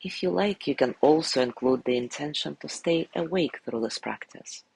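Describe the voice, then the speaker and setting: Man, sleep meditation guide, husky, creaky low-energy delivery, soft, soothing, unhurried. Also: lively intonation, dry recording, poor audio. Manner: gentle, unhurried phrasing with long pauses.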